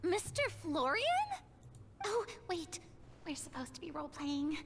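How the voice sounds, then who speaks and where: A woman's voice: high voice, animated, a little slow, heavy background noise. A woman voicing a timid, earnest young female role-player in a video game, with a nervous, hesitant manner. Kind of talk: animated video game acting.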